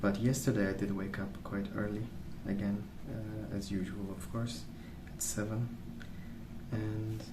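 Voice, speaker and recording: A man rambling softly in an ASMR style, hushed, hesitant and unhurried. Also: fairly low pitch, level tone. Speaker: ASMR video host. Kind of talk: soft-spoken ASMR rambling. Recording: roomy, distant mic with clear noise.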